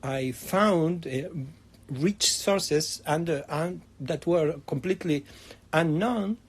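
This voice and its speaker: A man's voice: a little high, slow pace, slightly rough. A middle-aged man from Argentina, a history professor answering interview questions in a hesitant way, with many fillers and restarts. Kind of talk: academic interview.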